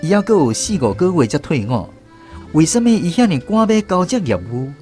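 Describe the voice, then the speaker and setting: Animated young Taiwanese soldier narrating, higher-voiced. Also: male voice, brisk, soft background music. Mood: recounting. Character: young soldier narrator recalling army days. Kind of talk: radio drama narration.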